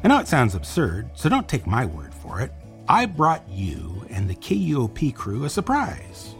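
A man's voice: medium pitch, huge pitch swings, normal speed, faint music behind. An actor playing a persuasive, wry male interview guest in an audio drama, talking in knowing rhetorical turns. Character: persuasive, wry male pitchman for futuristic farming.

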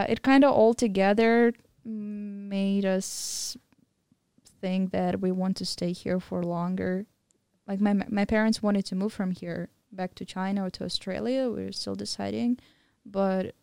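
A woman's voice: mid-range voice, little pitch movement, measured pace, vocal fry, a little mellow, smooth, no echo. A young woman, a podcast guest of Mongolian and German background, candidly telling her story in casual chat with many fillers. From Mongolian-German, living in Thailand. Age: young adult.

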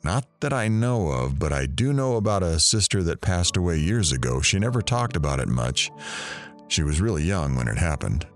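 Audio drama investigator, male actor: bass-low voice, dry sarcasm, unhurried and matter-of-fact. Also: big pitch swings, soft background music. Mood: hesitant, uneasy. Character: dry, sardonic male investigator searching rooms.

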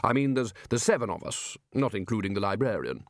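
A man voicing an anxious, prim elderly wizard lecturer who stammers haltingly, for a storybook. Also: fairly low pitch, very animated, no echo, clean studio sound. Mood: hesitant. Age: older.

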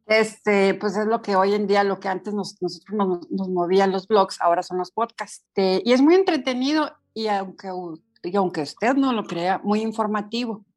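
A woman's voice: mid-range voice, moderate pace, few pauses, darkish tone. A woman guest in a casual podcast chat over a video call, talking in a friendly, hesitant way with fillers.

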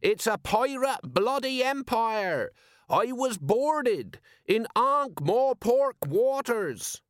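A male reader plays a blustering merchant captain in a comic fantasy audiobook. The voice sits high, sounding shifty and self-important. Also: big pitch swings, measured pace, dry recording, clean studio sound, heavily acted. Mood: indignant. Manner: loud, indignant, prone to stammering when challenged.

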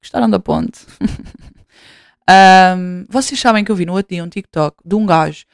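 A woman's voice: medium pitch, normal speed, gravelly, clean tone, dry recording, studio quality. A woman in her twenties with a European Portuguese accent, hosting a podcast in casual chat, rambling, swearing freely and mocking herself. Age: 20s. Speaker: podcast host.

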